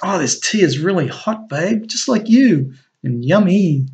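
A man voicing an eager, charming husband who coaxes and flatters his partner. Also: high-pitched, measured pace, pitched above the performer's own voice. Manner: enthusiastic, coaxing. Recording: dull lo-fi recording.

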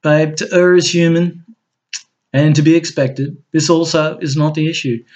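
A man voicing an eager, charming husband who coaxes and flatters his partner. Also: a little high, normal speed, voice pushed up, lightly acted. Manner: enthusiastic, coaxing. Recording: dull lo-fi recording.

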